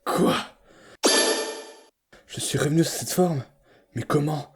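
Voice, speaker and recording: A man playing a frightened, bitter, proud young male creature in a fan comic dub, speaking in a dramatic, halting, stammering way. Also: a little high, darkish tone, slightly rough, full character voice. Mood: puzzled.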